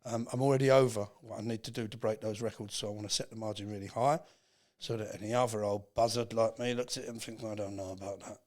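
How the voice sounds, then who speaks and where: A man's voice: fairly low pitch, steady tone, quick pace, hardly any pauses, darkish tone. A man in his sixties talking casually and plainly as a podcast guest, with emphatic phrasing. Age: 60s. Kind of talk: casual chat.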